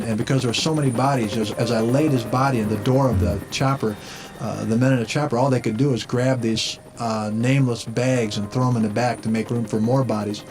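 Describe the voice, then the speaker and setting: A male war veteran giving a first-hand recollection in a halting, pausing way. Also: mid-range voice. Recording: clear background noise.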